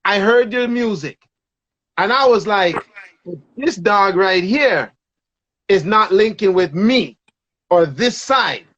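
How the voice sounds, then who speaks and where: A man's voice: high voice, lively intonation, takes it slowly, pauses often, fairly bright tone, lo-fi sound. A man chatting casually as a show host, full of slang and repeating phrases for emphasis. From Toronto, Canada. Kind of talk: casual, slangy reminiscing with a guest.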